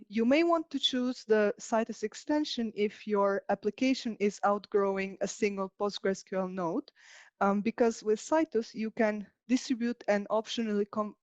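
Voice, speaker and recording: Female conference speaker from Albania, software engineer delivering a structured tech talk; dry, somewhat muffled lo-fi sound. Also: mid-range voice, no room sound. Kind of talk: prepared technical presentation.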